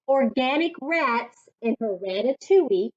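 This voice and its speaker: Dull lo-fi recording, woman, higher-pitched voice, very unhurried pace, children's read-aloud, instructive reading coach. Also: lively intonation. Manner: deliberate, instructive teacher-like delivery. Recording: dull lo-fi sound.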